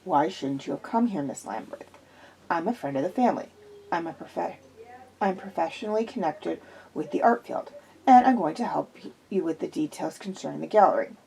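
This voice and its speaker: A woman voicing a soothing middle-aged man, an art expert, in a measured tone. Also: lowish voice, animated, vocal fry, dark tone, breathy edge, pitched below the performer's own voice, heavily acted, low-quality recording.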